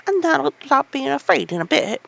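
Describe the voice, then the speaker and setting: A reader voicing a kind, cheerful country boy in a Yorkshire dialect, speaking loudly and heartily in a storybook reading. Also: medium pitch, lively intonation, fairly quick, gravelly, highs cut off. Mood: hearty. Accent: Yorkshire dialect put on. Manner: loud, hearty.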